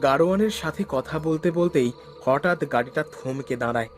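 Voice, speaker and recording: A man's voice: a little high, normal speed, soft background music. A man narrating a spooky cartoon story in the first person. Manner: stammers nervously when frightened. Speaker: narrator and lead character.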